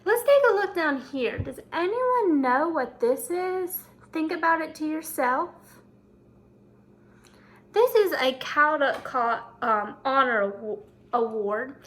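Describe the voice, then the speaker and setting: A woman's voice: sits high, animated, takes it slowly, a bit bright. A woman reading a picture book aloud to children like a teacher, commenting and prompting them with questions.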